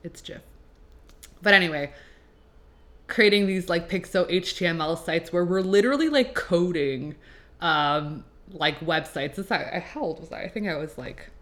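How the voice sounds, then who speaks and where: A woman's voice: deep voice, a bit bright. Chatty young Canadian woman, native French speaker. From Quebec, Canada. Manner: chatty, extroverted, filler-heavy with "like". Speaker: podcast host. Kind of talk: casual personal monologue. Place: apartment.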